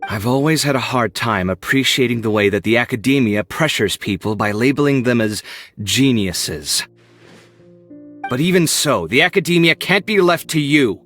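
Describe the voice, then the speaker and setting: A man's voice: medium pitch, lively intonation, moderate pace, a bit bright, natural voice. An actor playing a proud, theatrical young man in an anime game, easily riled and given to indignant bickering. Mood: haughty. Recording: music behind the voice.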